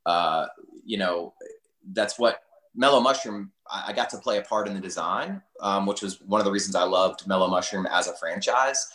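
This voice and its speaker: A middle-aged man with a low, deep voice, recorded in a noticeably echoey space. He tells stories casually as an interview guest, wandering through his thoughts with plenty of "you know". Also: normal speed, fairly bright tone. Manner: rambling, peppered with "you know". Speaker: restaurateur podcast guest. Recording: roomy, echoing sound.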